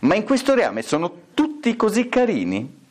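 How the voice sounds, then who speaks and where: Man doing a bad-tempered storybook queen, imperious, vulgar, scolding, high and swooping. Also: wildly swooping pitch, moderate pace, exaggerated voice. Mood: commanding. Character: vain, bad-tempered evil stepmother queen.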